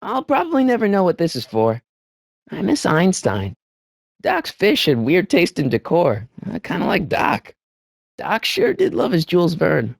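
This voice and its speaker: An actor playing an excitable, good-hearted teenage boy in an adventure video game, with hesitant stammers and an incredulous way of questioning things. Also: high voice, measured pace, creaky, no echo, clean studio sound, full character voice. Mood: musing, curious. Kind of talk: animated video game voice acting.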